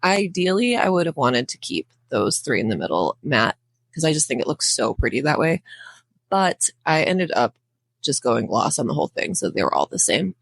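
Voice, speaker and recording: A woman hosts a casual how-to voice-over in a crackly, under-the-weather voice. Her pitch moves in a lively way as she rambles informally through asides. The recording is close and dry. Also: normal speed, dry recording. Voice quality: crackly, raspy. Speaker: nail art tutorial host.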